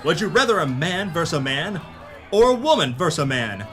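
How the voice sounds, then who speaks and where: A man's voice: a little high, sing-song, fairly quick, fairly bright tone, long reverb tail, faint music behind, a faint crowd. A man playing a hardboiled, melodramatic pulp detective who narrates his adventure in a noir parody audio drama. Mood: forceful, impatient.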